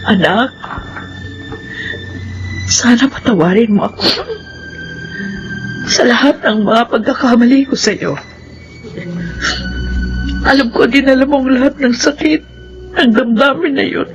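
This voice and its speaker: A woman's voice: on the high side, a little slow, pauses often, dull audio, over music. A woman playing a loving, remorseful mother in a Filipino radio drama, pleading tenderly.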